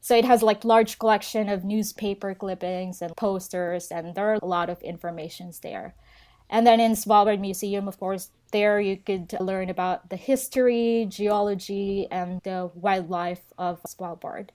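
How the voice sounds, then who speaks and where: A woman's voice: medium pitch, steady tone, unhurried, darkish tone. A young woman who speaks natively, chatting casually as a guest in an interview and often laughing. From Philippines. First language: Filipino. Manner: laughs often, friendly and chatty, mixes in Norwegian words. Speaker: guest, local travel expert.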